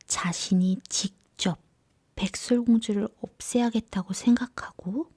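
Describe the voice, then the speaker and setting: Slow, hushed woman telling bedtime tales. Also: medium pitch, husky, no room sound. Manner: whispery, gentle, soothing. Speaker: storyteller. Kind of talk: soft bedtime fairy-tale narration.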